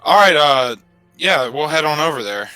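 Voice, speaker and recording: A man's voice: on the high side, lively intonation, normal speed, pushed and bright, clean tone, no room sound, soft background music, poor audio. A man playing a tabletop roleplaying game, chatting and improvising informally with jokes. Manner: informal, joking. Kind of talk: casual improvised roleplay chat.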